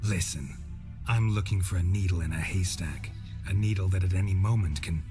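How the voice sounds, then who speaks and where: An actor playing a world-weary monster hunter in a video game, with terse, deadpan, dry delivery. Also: male voice, deep voice, moderate pace, over music, close to an everyday voice. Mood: matter-of-fact. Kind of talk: video game cutscene acting.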